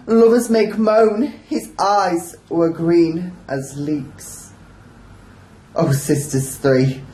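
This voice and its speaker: Lovelorn tragic maiden acted by a woman, lowish voice, declamatory Shakespeare lament in an echoey room. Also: dark tone. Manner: declamatory, mournful, drawn-out verse delivery. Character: grief-stricken lovelorn young maiden in a mock tragedy. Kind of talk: amateur Shakespeare radio play acting.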